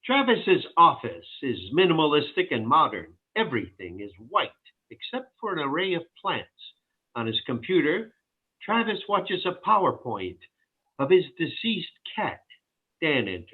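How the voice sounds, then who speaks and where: A man's voice: fairly high pitch, sing-song, moderate pace, husky, phone-quality sound. A man narrating the stage directions of a screenplay at a table read.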